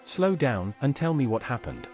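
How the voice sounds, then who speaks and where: A man's voice: mid-range voice, huge pitch swings, moderate pace, a little mellow, faint music behind. A man's synthetic voice playing an inquisitive adventurer in a fantasy video game, asking things plainly. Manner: plain, questioning. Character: inquisitive male adventurer questioning people.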